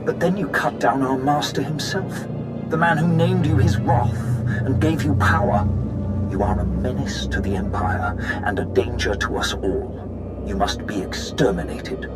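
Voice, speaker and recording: A man acting an imperious dark lord in a sci-fi game. He is formal, haughty and threatening at a middling male pitch, with a loud score behind him. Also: a little slow, loud music behind the voice, lightly acted. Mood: cold condemnation. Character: imperious Sith warlord, cold, condemning rival. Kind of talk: dramatic video game voice acting. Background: loud cinematic game score.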